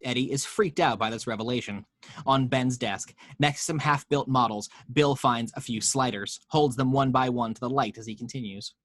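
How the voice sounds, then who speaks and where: A man's voice: medium pitch, moderate pace, fairly bright tone, no echo. Guy reading out stage directions, wry little asides, dry close sound. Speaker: narrator reading action lines. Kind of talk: screenplay table read narration.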